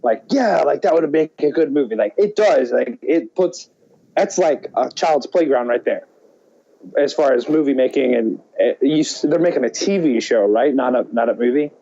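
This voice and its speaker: Lo-fi sound. Male co-host, medium pitch, halting conversational delivery. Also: lively intonation, vocal fry, mellow. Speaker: podcast co-host. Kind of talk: casual chat. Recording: dull lo-fi audio.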